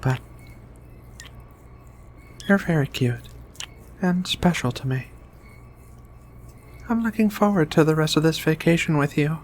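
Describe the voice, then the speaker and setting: Woman as a slow, low-voiced gentle giant alien woman. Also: expressive, a little hiss or hum. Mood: affectionate, reflective. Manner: tender, hesitant, murmuring hums between phrases. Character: gentle giant female alien xenobiologist, affectionate, bookish. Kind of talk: soft-spoken ASMR roleplay.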